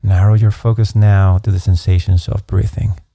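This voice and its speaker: Man, guided meditation, calm measured delivery, gravelly creak, close dry sound. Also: low voice, dry recording. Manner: slow, calm, measured instruction with soft pacing.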